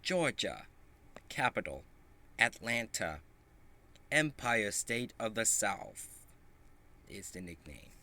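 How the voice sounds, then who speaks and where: A man with a fairly low, bright voice reads a children's book aloud. He goes slowly and haltingly, and his pitch swings widely as he reads. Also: very animated. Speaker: storybook reader.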